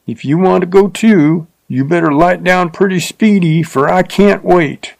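A man's voice: high voice, unhurried, vocal fry, a little mellow, no echo, voice pushed up. A man voicing a crude, drunken frontier rider with a coarse rustic drawl. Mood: leering.

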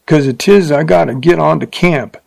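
A man's voice: a little high, normal speed, vocal fry, darkish tone, dry recording, voice pushed up. A man voicing a crude, drunken frontier rider with a coarse rustic drawl. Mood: leering.

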